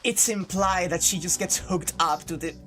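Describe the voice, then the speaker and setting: A woman's voice: fairly low pitch, normal speed, fairly bright tone. A woman hosting a playthrough, reading a visual novel aloud with informal, sweary, jokey asides. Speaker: host of a game playthrough. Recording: music playing behind the voice. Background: game music.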